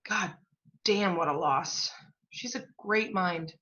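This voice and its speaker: An actress playing a guilt-ridden but pragmatic literary professional in a stage play, with a dramatic, emphatic delivery. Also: female voice, on the low side, slow, sharp tone, muffled recording. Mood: regretful, hopeful. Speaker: apprentice stage actor.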